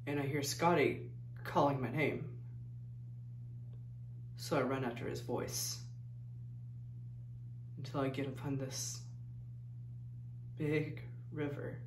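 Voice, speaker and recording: A woman playing a plain-spoken, grieving figure, haunted and halting, in a dramatic stage monologue. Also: a bass voice, steady tone, background noise. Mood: subdued, wistful. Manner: subdued, halting, folksy storytelling. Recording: echoey room, distant microphone.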